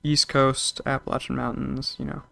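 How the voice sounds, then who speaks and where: A man's voice: mid-range voice, little pitch movement, normal speed, creaky, bright, forceful tone, no room sound. A young man streaming a game, casually chatting and reading out chat messages in a rambling way. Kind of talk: casual chat with live chat while gaming.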